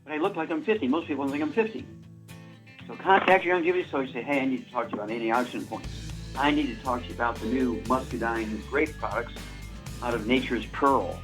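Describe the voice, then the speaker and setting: A man in his seventies hosting a radio show, rambling through a supplement pitch and correcting himself as he goes. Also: fast talker, a bit bright, faint music behind. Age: 70s. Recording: muffled radio broadcast.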